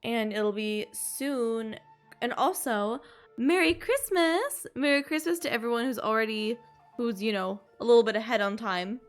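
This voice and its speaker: A woman with a fairly high voice gives casual live gameplay commentary. She chats to viewers and tosses in playful exclamations and sound effects. Game music plays softly underneath. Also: moderate pace. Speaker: streamer playing a video game.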